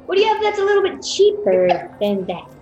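A woman's voice: very high-pitched, sing-song, brisk, darkish tone, dull audio, faint music behind. A woman playing a tabletop game, haggling in a breezy way. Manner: breezy, bargaining. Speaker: player.

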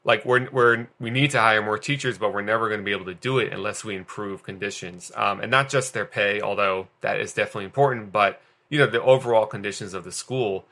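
Earnest man with a bright, low voice. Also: fast talker, hardly any pauses. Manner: earnest, many fillers and restarts. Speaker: guest teacher. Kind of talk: conversational discussion.

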